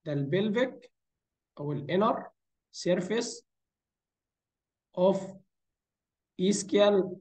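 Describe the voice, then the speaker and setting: A man's voice: sits high, darkish tone. A man with an Egyptian Arabic accent and a tired, strained voice gives an informal tutorial, mixing in English medical terms and answering his own questions. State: hoarse, strained voice from fatigue. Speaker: anatomy lecturer. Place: voice-over for a screen recording.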